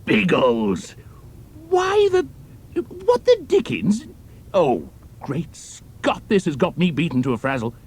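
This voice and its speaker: An actor playing a loyal, excitable young British airman in a wartime audio drama. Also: on the high side, slow pace, husky, heavily acted. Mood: astonished.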